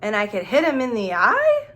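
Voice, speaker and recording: A woman teacher reading a picture book aloud to young children, playful and expressive, pausing to ask simple questions and explain. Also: fairly high pitch. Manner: expressive, playful, with gasps and exclamations. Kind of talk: children's storybook read-aloud with questions and asides.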